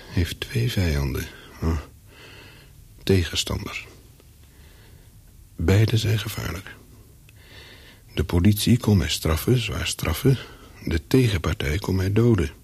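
A hunted spy courier in a radio thriller, with a low and very husky voice. He narrates in the first person, cool and calculating, in short deliberate sentences. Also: darkish tone, full character voice. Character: hunted male courier of smuggled microfilms, wary, coolly calculating.

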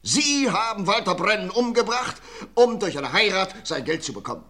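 A shrewd police inspector. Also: male voice, high voice, lively intonation, pushed and bright, full character voice. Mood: accusing. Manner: measured, pointed interrogating delivery. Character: shrewd male police inspector confronting a suspect. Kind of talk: 1950s radio drama acting.

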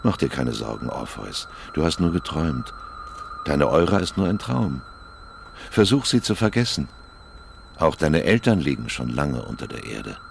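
A man playing a grave, knowing, fatalistic figure who speaks in dark poetry in a radio drama. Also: sing-song, moderate pace, slight background noise, exaggerated voice. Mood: grave, dismissive. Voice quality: very deep, gravelly. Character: dark, fatalistic male narrator taunting a lost lover. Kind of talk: literary radio drama acting.

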